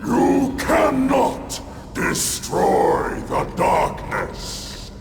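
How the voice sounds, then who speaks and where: Demon overlord villain, gravelly and slow, gloating threats, loud game music behind. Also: male voice, huge pitch swings, exaggerated voice. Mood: menacing, defiant. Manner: menacing, theatrical, booming declarations. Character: gloating demonic dark lord of another dimension. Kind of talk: video game villain acting.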